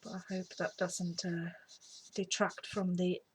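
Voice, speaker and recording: Brief: middle-aged woman, lowish voice, a bit sniffly from a cold, chatty crafting tutorial with a faint hiss behind. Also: little pitch movement, unhurried, low-quality recording. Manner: rambling, chatty, drops to whispers while working. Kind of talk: casual craft demonstration. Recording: faint background noise.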